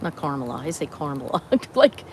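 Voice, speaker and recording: A woman's voice: low voice, big pitch swings, normal speed, gravelly, a little mellow, slight background noise. A woman from New York State with a Bronx accent, chatting casually on a livestream, greeting viewers and laughing often. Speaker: livestream host.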